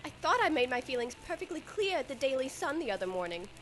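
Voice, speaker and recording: An actress playing a sassy, strong-willed young flapper in an old-time radio drama, theatrical and sharp-tongued. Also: female voice, high-pitched, big pitch swings, quick pace, a little hiss or hum. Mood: cold, exasperated.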